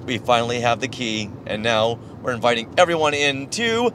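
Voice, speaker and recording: A man's voice: medium pitch, sing-song, moderate pace, background noise. A man with an American accent co-hosting a podcast in casual, chatty style. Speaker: podcast co-host. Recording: music behind the voice. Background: music.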